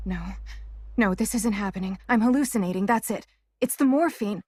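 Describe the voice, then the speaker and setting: A woman's voice: mid-range voice, moderate pace, mellow, dry recording, lightly acted. An actress playing a young woman, newly blinded, who is frightened, emotional and defiant. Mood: panicked. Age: young adult. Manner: agitated, emotional, talks back sharply.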